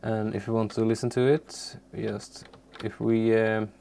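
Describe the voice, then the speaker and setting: Halting, very gravelly man teaching software. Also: fairly low pitch, level tone, a little hiss or hum. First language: not English. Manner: halting, searching for words. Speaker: tutorial presenter. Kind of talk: unscripted software tutorial.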